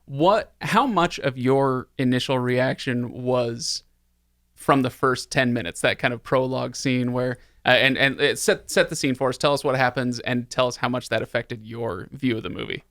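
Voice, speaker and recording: A man's voice: medium pitch, moderate pace, fairly bright tone, no room sound, clean studio sound. A man hosting a casual podcast conversation about films. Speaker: podcast host. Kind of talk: casual chat.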